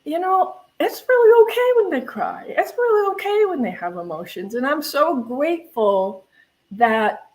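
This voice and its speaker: A woman's voice: high voice, big pitch swings, a little slow, darkish tone, low-quality recording. An older woman, a minister, sharing personal reflections in a spiritual talk in a candid, conversational way, stressing key words.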